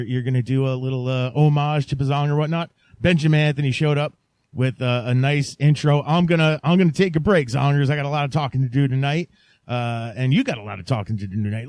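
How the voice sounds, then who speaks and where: A man's voice: a little high, a little mellow, dry recording. A man hosting a podcast in a casual, chatty, folksy way with playful, jokey hype. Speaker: podcast host. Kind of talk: casual, chatty show introduction.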